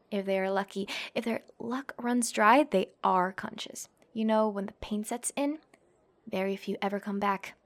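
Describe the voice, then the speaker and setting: A woman's voice: medium pitch, natural voice. A woman acting a cocky, taunting young female fighter. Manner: taunting, swaggering, foul-mouthed, theatrical pauses and scoffing. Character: cocky young female MMA fighter classmate, menacing, protective tsundere. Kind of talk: ASMR roleplay monologue.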